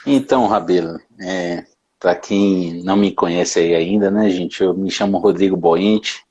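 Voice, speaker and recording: A man tells his story in a relaxed interview. His voice sits low and a bit husky. He talks easily and often tags his sentences with a quick question. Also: lo-fi sound. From Bahia, Brazil. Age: 30s. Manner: relaxed, frequent tag questions. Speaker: interview guest. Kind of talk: casual interview answers.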